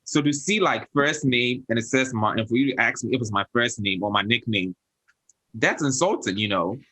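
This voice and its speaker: A man's voice: medium pitch, normal speed. A young man hosting a casual panel chat, telling stories with lots of laughter and mimicking other people. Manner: animated storyteller, laughs often, quotes others in a raised voice. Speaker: host.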